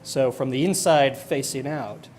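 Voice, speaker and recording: A man's voice: mid-range voice, measured pace, a little mellow, a little hiss or hum. A man moderating a conference panel, casual and affirming, linking loosely strung phrases into a question for the next speaker.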